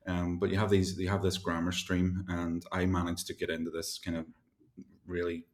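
A man's voice: low voice, completely flat delivery, normal speed. A man, chatting as an interview guest over a video call, rambling with lots of fillers and laughs. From Ireland. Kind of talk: casual interview chat.

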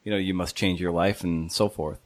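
Low-voiced man hosting a casual interview. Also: steady tone, brisk, dry recording. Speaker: podcast host, interviewer.

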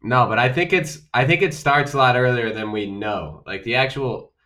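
A middle-aged man co-hosts a podcast. His voice is bright and clear. His delivery is playful, and he teases and jokes in easy banter. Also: mid-range voice, moderate pace. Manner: joking, teasing banter. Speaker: podcast co-host. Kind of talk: casual chat.

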